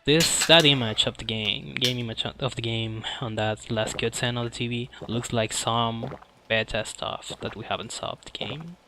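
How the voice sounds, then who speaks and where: Male game streamer, non-native English, casual and halting live commentary, mid-range voice over prominent music. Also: mid-range voice, steady tone. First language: not English. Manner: halting, searching for words. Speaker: speedrunner presenting a game at a streamed marathon.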